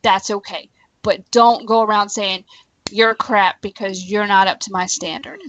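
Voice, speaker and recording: A woman's voice: medium pitch, steady tone, unhurried, fairly bright tone, low-quality recording. A woman in casual conversation on a talk show, giving her opinions in an informal, emphatic way.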